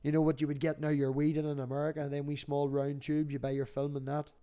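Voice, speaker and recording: Male, middle-aged, slightly high register, casual and sweary livestream talker who spins anecdotes. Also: completely flat delivery, fast talker, dark tone, smooth. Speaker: livestream host.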